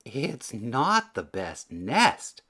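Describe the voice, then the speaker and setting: A man's voice: mid-range voice, wildly swooping pitch, extremely slow, sharp tone, breathy edge, full character voice. A man voicing a fussy, decisive female bird, the wife in a children's storybook, speaking emphatically. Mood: fed up.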